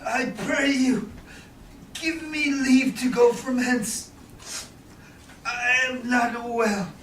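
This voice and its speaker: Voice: medium pitch, steady tone, very slow, a bit bright. A performer playing a broken, bitter moneylender in a Shakespearean stage reading. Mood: defeated. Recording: distant echoey small theatre, background noise.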